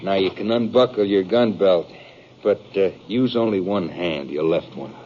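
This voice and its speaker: An actor playing a bitter, brooding western outlaw in a 1950s radio drama, speaking in halting, rustic, confessional fashion. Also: male voice, sits low, noisy background, close to an everyday voice. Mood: cool, menacing. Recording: dull 1950s radio recording.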